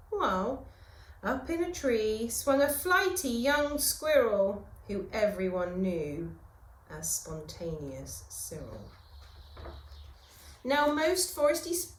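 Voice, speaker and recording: A woman with a fairly high voice reads a children's storybook like a teacher at storytime. Her delivery is slow and theatrical, swinging widely in pitch with dramatic pauses. The room around her sounds echoey. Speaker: primary school teacher reading a story. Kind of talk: animated children's storybook reading. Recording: roomy, echoing room sound.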